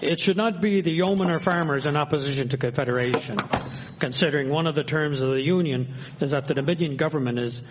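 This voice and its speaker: A man playing an earnest, politically minded gentleman of the 1870s in a radio drama, formal and argumentative. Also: on the high side, quick pace, lightly acted. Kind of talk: scripted period radio drama. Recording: echoey hall, clear background noise.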